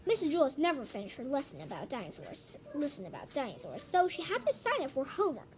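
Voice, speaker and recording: A woman's voice: high-pitched, wildly swooping pitch, fast talker, darkish tone, a little hiss or hum. A female amateur reading a children's storybook aloud, stumbling and repeating phrases as she goes. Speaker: reader of a children's book.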